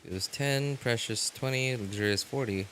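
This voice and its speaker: A man live streaming a game, chatting casually in halting phrases that trail off. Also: mid-range voice, fairly bright tone, a little hiss or hum, poor audio. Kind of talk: casual live chat while gaming.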